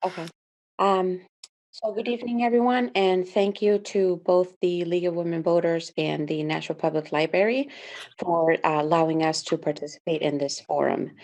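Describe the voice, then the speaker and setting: Low-voiced woman giving a candidate statement. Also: steady tone, normal speed, darkish tone. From Dominican Republic. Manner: earnest, with frequent "uh" and "um". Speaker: school board candidate. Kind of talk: opening statement.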